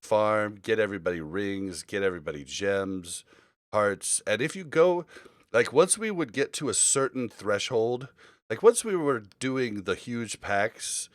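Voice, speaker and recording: A man's voice: fairly low pitch, lively intonation, a little slow, dry recording, clean studio sound. A man hosting a casual gaming podcast, talking in a rambling, informal way. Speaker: podcast host.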